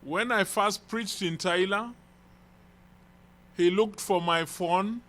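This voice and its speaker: A man from Kenya with a Kenyan accent, a visiting church elder telling stories slowly in an informal sermon. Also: high voice, a bit bright. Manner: slow, rambling storytelling with restarts. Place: church hall.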